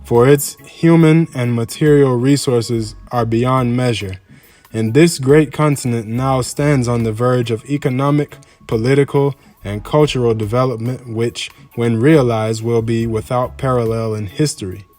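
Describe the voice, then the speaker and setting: A man reads a formal address aloud in a solemn, measured, oratorical manner. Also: medium pitch, fairly even intonation, a little mellow. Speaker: reader of a historical speech. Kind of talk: formal speech read aloud. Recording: music under the voice. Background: background music.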